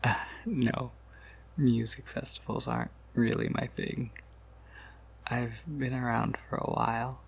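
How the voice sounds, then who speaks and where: A man playing an ancient, courteous vampire apothecary keeper in an ASMR roleplay, in a breathy, gravelly whisper with a hesitant, unhurried manner. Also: medium pitch, steady tone. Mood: gentle, welcoming. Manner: hesitant, unhurried, softly chuckling asides.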